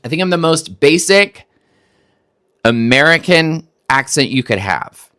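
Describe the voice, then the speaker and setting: A man's voice: a little high, sing-song, measured pace, sharp tone, dry recording. A man from Texas hosting a reaction video, making wry, skeptical remarks that stumble over restarts. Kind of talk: casual commentary on a clip.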